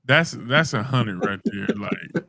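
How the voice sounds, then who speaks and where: A man's voice: medium pitch, expressive, takes it slowly, creaky, sharp tone. A man co-hosting casual sports talk with a blunt, emphatic, slangy delivery. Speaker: podcast co-host.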